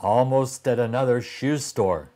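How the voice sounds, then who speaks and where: A man's voice: mid-range voice, measured pace, warm tone, lightly acted. An older man voicing a sarcastic, complaining young boy from a children's storybook. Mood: grumbling. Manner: complaining, blurting.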